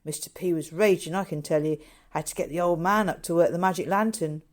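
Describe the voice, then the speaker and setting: A woman voicing a gruff young male zookeeper in a story reading, blunt and colloquial, scolding but kindly. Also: fairly low pitch, a little fast, close to an everyday voice. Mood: gruff grumbling. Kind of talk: character dialogue in a novel reading.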